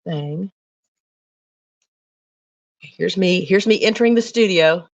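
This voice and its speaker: Low-voiced woman chatting while she bakes. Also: slow, no echo, highs cut off. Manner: rambling, talks to viewers while working. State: voice lower than usual from a cough. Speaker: cooking livestream host. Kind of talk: casual livestream chat while baking. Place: home kitchen. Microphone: phone mic.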